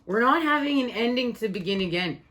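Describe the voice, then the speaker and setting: A woman giving a spiritual tarot reading, her voice worn and fading, speaking in slow, soothing, repetitive phrases. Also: fairly high pitch, expressive, fairly bright tone. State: losing her voice. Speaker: tarot reader.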